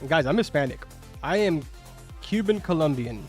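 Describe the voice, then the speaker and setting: A man's voice: on the high side, lively intonation, moderate pace, a little mellow. Young man, native Spanish speaker, streaming. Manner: chatty, filler-heavy, answers chat questions. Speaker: game streamer. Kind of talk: casual live-stream chat with viewers. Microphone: studio microphone. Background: faint game music.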